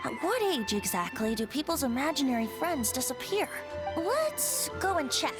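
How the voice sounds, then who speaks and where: A woman's voice: sits high, big pitch swings, moderate pace. A woman voicing a playful, whimsical young bard with a musing, teasing manner in an anime game. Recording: game dialogue over loud music.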